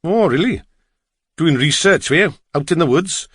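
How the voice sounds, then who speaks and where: A man's voice: on the high side, animated, moderate pace, fairly bright tone, slightly rough, dry recording, clean studio sound, voice pushed up. A man voicing a chatty, curious middle-aged taxi driver with a Welsh accent in an audiobook. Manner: chatty, lilting, inquisitive.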